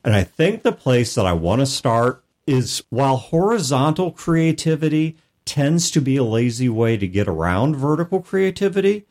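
A man's voice: medium pitch, sing-song, moderate pace, hardly any pauses, a little mellow. A man co-hosting a gaming talk show, laying out ideas step by step in casual chat. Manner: methodical, lays out ideas with examples. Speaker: podcast co-host.

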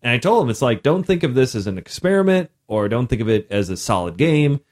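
A man co-hosting a casual podcast chat about running games, with a loud voice that projects and an energetic, laughing manner. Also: mid-range voice, a little fast. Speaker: podcast co-host and game master.

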